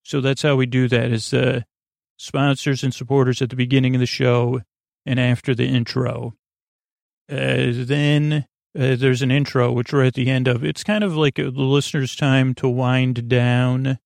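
A man hosting a sleep podcast, rambling in a drowsy, meandering way and gently reassuring his listeners. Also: mid-range voice, level tone, normal speed, vocal fry, no room sound, clean studio sound. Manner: meandering, drowsy, self-interrupting.

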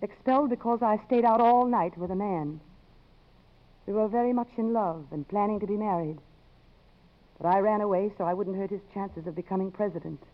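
An actress playing a poised, principled, outspoken woman in a 1950s radio drama, measured and articulate. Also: mid-range voice, lightly acted. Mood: tender, earnest. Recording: muffled old radio broadcast.

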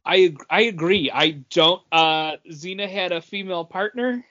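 A man co-hosting a podcast, chatting casually about comics in a halting way as he searches for words. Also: sits high, fairly bright tone. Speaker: podcast co-host. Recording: dull, lo-fi sound.

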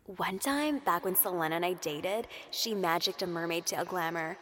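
A woman's voice: on the low side, normal speed, a bit bright, slight background noise, natural voice. A woman playing a loyal, playful friend in an audio drama, speaking in a coaxing, affectionate way. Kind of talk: naturalistic audio drama acting.

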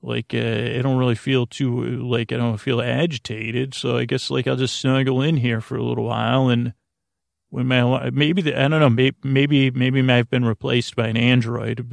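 A man hosting a sleep podcast in a rambling, halting monologue, trailing off and interrupting himself with whimsical, self-deprecating humor. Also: mid-range voice, creaky, dry recording, clean studio sound. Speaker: podcast host.

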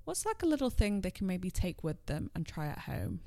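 A woman's voice: lowish voice, big pitch swings, warm tone, no room sound. Chatty woman podcast host, dry sound. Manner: chatty, curious, quick to react with laughs and "ooh". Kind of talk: casual interview chat.

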